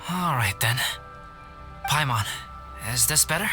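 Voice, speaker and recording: A man voicing a calm, cultured young artist in a video game, speaking in a measured, formal, politely aloof way. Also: medium pitch, over music, natural voice. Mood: composed, curious. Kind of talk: scripted game voice acting.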